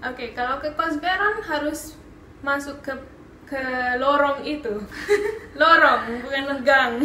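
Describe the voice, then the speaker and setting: A young woman from Singapore, a guest in a casual vlog chat, answering briefly and relaxed with frequent giggles. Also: high voice, slow, reverberant, slight background noise. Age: young adult. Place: small bedroom, indoors.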